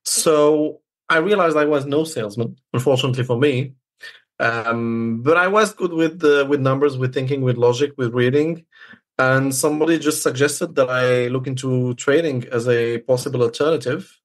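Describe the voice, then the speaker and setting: Man from Morocco, podcast guest, explanatory, casual interview talk, hesitant phrasing, mid-range voice. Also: medium pitch.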